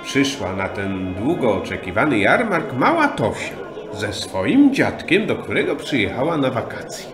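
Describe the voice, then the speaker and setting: A man narrating a children's audio story in an expressive, storytelling way. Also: mid-range voice. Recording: roomy sound with music behind.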